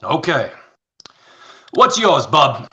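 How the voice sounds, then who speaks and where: Radio play barkeep: male actor as brusque tough guy, highish, forceful, unhurried but impatient. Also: very animated, highs cut off, exaggerated voice. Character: gruff, impatient male bar boss. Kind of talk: old-time radio play live reading.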